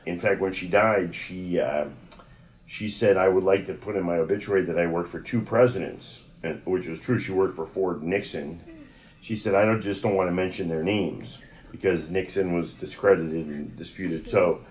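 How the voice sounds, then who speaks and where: Low-voiced middle-aged American man. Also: moderate pace, darkish tone. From United States (Yonkers, New York). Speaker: interview guest recounting personal history. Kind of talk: conversational oral-history storytelling.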